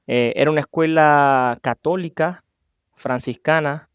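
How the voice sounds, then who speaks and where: A man's voice: a little high, unhurried, clean tone, no room sound, studio quality. A man from Venezuela with a Venezuelan Spanish accent, talking about himself as a podcast guest in a casual interview. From Maracaibo, Venezuela. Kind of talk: personal storytelling in a casual interview.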